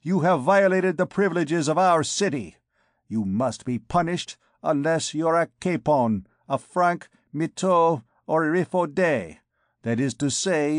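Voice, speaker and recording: A man's voice: a little high, dry recording, studio quality, voice pushed up. A man voicing a grandiose, menacing male beggar king who proclaims his titles with scorn.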